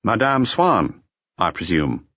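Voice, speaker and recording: A man voicing a polite, calmly official male detective who probes with questions in an audiobook. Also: low voice, slow pace, no room sound, dull audio, heavily acted. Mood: formal, probing.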